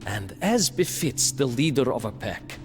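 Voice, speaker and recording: A man's voice: medium pitch, big pitch swings, a little slow, music in the background. An actor playing a proud, bitter medieval Lithuanian prince who narrates his story theatrically in a video game cutscene. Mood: bitter, defiant. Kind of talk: dramatic scripted historical narration in character.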